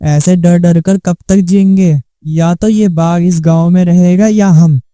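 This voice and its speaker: A man's voice: high-pitched, fairly even intonation, unhurried, warm tone, no echo, clean studio sound. A man playing a worried, suspicious village man in a cartoon moral story, emphatic and questioning. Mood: accusing. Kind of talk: Hindi animated moral story acting.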